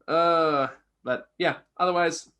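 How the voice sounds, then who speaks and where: A man's voice: a little high, slow pace, bright, forceful tone. Casual, rambling man chatting about movies. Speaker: movie reviewer, co-host. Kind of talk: casual unscripted chat.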